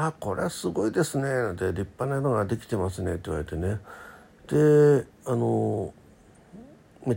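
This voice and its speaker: Guy with a really dark husky voice, chatting solo on his radio show, kinda rambly, pitch moves around a lot. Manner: halting, rambling, self-correcting. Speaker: internet radio host. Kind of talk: casual solo chat.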